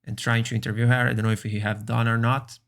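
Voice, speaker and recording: A man whose first language is Brazilian Portuguese, speaking English earnestly and haltingly as a streamer reacting to a video. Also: mid-range voice, little pitch movement, gravelly, fairly bright tone. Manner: earnest, halting, many restarts.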